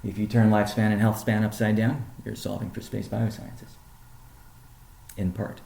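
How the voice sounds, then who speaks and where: A man presenting as a company chief on a business conference call, speaking in measured, deliberate phrases. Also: lowish voice, little pitch movement, gravelly, dark tone. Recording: roomy, echoing sound.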